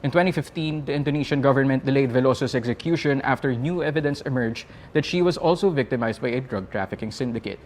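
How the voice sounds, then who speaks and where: Man, Filipino accent, news presenter, medium pitch, formal, measured, scripted roundup. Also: darkish tone, slight background noise.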